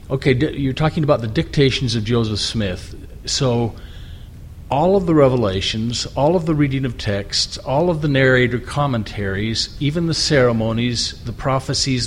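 A man's voice: medium pitch, slight background noise. A man in the audience politely asking a question, carefully summarizing the speaker's argument at a microphone. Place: lecture room.

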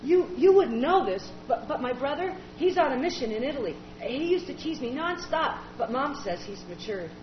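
An actor playing an eager, earnest young sibling who chatters on. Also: female voice, sits high, expressive, moderate pace, few pauses. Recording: echoey, dull recording with noise.

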